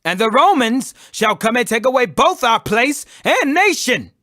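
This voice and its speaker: A man's voice: very high voice, sing-song, a little slow, sharp tone, dry recording, clean studio sound, voice pushed up, heavily acted. A man voicing a haughty, urgent council priest who declaims emphatically in a dramatized scripture reading. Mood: alarmed.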